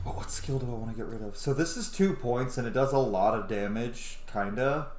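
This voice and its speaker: A man's voice: mid-range voice, lively intonation, a little fast, a little mellow, roomy sound, a little hiss or hum. A man giving casual, informal commentary on a video game playthrough, thinking aloud as he goes. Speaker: gaming video host. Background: game music.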